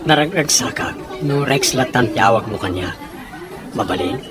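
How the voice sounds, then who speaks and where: A man's voice: mid-range voice, expressive, moderate pace, crowd noise, natural voice. A man acting as a generous, courting benefactor in a radio drama, speaking earnestly and persuasively. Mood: coaxing. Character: courting, generous male benefactor of a young woman.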